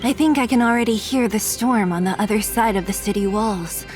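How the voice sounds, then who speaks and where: A woman's voice: medium pitch, a little fast, lightly acted. A woman playing a blunt, scrappy young freedom fighter in a video game, frank and tough-talking. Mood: uneasy, protective. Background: game music.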